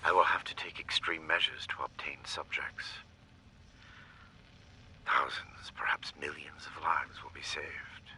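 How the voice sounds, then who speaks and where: A man playing a cold, obsessive research scientist in a video game, speaking in a breathy rasp with measured, formal, clinical delivery. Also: a bass voice, fairly even intonation, bright, forceful tone, whispered, exaggerated voice, poor audio. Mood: coldly resolved. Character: cold, obsessive male research scientist recording his logs. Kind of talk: video game acting, scripted log monologue.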